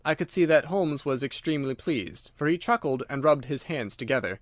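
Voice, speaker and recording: A man's voice: mid-range voice, lively intonation, a little fast, dry recording. A man reading scripted literary narration as an audiobook narrator.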